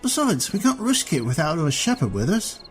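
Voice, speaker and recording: A man's voice: a little high, very animated, moderate pace, soft background music. A man playing a dutiful, weary fort guard who reports respectfully and matter-of-factly to his lord. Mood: grim.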